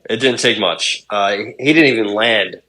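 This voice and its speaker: Young man, lowish voice, laid-back, rambling, casual swearing, podcast co-host. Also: moderate pace, sharp tone. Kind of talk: casual sports chat.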